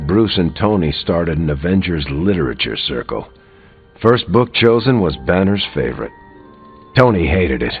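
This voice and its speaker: A man playing a seasoned superhero in a video game, with a dry, measured way of telling things. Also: deep voice, husky, narrow, tinny audio, exaggerated voice. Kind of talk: video game character dialogue.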